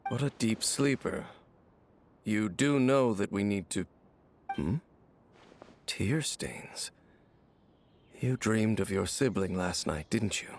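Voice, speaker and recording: A man voicing a calm, guarded wandering swordsman in a game cutscene, speaking in a measured, reserved way. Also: on the low side, a little hiss or hum. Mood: gentle.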